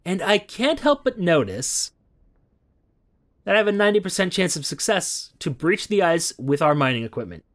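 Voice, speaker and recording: A man's voice: high voice, big pitch swings, moderate pace, a bit bright. A man playing a space exploration game, thinking aloud with frequent hums and reading out the game's text, a little strained as he is losing his voice. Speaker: game streamer. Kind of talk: let's play commentary with reading of game text.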